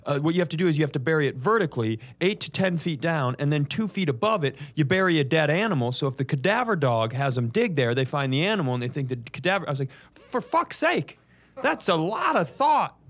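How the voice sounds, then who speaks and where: Male comedy co-host, lively swooping delivery, fairly high voice, teasing banter with mock-courtroom insistence. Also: fast talker. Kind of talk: bantering casual chat.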